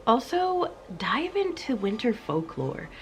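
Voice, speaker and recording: A woman's voice: fairly high pitch, sing-song, takes it slowly, a little hiss or hum. A woman host chatting playfully to camera with cozy enthusiasm, her voice a little off because she is coming down sick, sometimes dropping into a spooky whisper. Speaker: channel host. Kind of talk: cozy casual chat to camera.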